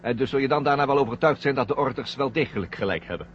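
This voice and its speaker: A man's voice: mid-range voice, animated, fast talker, close to an everyday voice. A male actor playing a coldly triumphant, sarcastic astronaut traitor in a 1970 science fiction radio drama. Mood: mocking. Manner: sarcastic, taunting. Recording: muffled old radio recording, faint noise.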